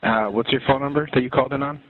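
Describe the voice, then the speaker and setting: A man's voice: mid-range voice, no room sound. A man working as an emergency dispatcher, asking procedural questions over a tinny recorded phone line. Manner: brisk, procedural. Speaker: 911 dispatcher. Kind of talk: emergency call handling. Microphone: telephone.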